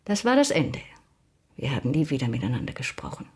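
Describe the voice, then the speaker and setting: Proud mature lady, low-pitched, breathy husky voice, radio drama, confiding and bitter. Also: sing-song, a little fast, darkish tone, full character voice. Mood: wistful, bitter. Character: proud older woman confiding a bitter lost friendship.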